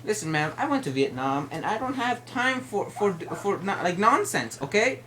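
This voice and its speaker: A woman's voice: medium pitch, very animated, normal speed, a crowd in the background, close to an everyday voice. A woman playing an aggrieved, stubborn male neighbor, argumentative and repetitive, in an improvised prank phone call. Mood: stern, insistent.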